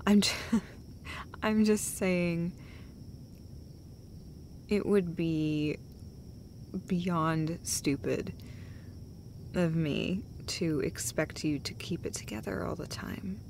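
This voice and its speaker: Woman acting soft, slow-talking, caring girlfriend. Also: lowish voice, creaky, slight background noise, heavily acted. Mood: reassuring, lightly teasing. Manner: soft-spoken, often dropping to a whisper, hesitant. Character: caring, gentle, casually sweary girlfriend comforting her partner. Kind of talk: intimate roleplay audio acting.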